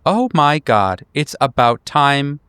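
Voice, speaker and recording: A man's voice: medium pitch, big pitch swings, slow pace, fairly bright tone, clean tone, dry recording, studio quality, pitched above the performer's own voice. A man voicing an eccentric, paranoid woman neighbour in a tabletop horror game, rambling and insistent. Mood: relieved, effusive. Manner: rambling, insistent, full of restarts.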